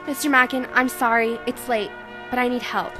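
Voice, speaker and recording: A woman's voice: on the high side, steady tone, moderate pace, sharp tone, faint music behind. A woman playing a frightened, pleading caller in distress in a radio detective drama. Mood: fearful, pleading. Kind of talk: old-time radio detective drama acting.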